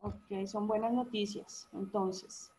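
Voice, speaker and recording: A woman's voice: mid-range voice, fairly even intonation, slow pace, warm tone, rough recording. A woman from Colombia interviewing a guest for a study-abroad agency, summing up his answers. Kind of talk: interview hosting.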